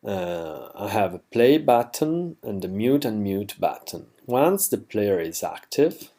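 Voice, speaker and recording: Slow, halting man explaining software. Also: on the low side, sing-song. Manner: halting, self-correcting, slightly non-native phrasing. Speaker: plugin developer demonstrating software. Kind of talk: unscripted screencast tutorial.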